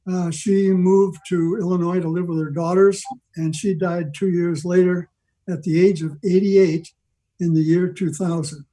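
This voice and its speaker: An older man giving an informal history talk on a video call, rambling along with halting digressions. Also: high-pitched, fairly even intonation, warm tone, low-quality recording. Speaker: club member giving a presentation. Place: video meeting from home.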